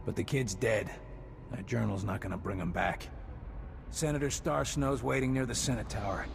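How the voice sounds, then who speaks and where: An actor playing a world-weary, cynical mercenary leader in a video game, gravelly voiced, blunt and sardonic. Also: male voice, fairly low pitch, moderate pace, music in the background. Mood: bitter, resigned. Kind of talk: scripted video game dialogue. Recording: clear ambient vehicle noise. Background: ambient noise.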